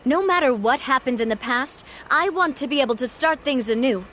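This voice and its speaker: A woman's voice: high voice, expressive, brisk, fairly bright tone, slight background noise. A woman voicing a young woman who leads a group in a video game, firm, composed and sincere. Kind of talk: game voice acting. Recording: game audio with faint music behind.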